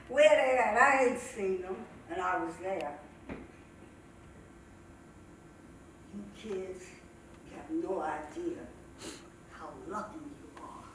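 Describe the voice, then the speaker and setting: A woman performing as a sassy, cranky old woman comedian, telling stand-up stories in a folksy, drawn-out way with dramatic pauses. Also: mid-range voice, lightly acted. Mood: sassy, scolding. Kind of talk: theatrical stand-up storytelling monologue. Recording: echoey, noisy stage recording from far off.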